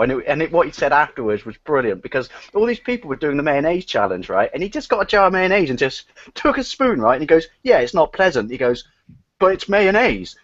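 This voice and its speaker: Chatty man in his thirties, lively pitch. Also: no echo. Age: 30s. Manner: chatty storyteller, laughs often. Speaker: livestream panelist. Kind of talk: casual group chat. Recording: lo-fi online call audio.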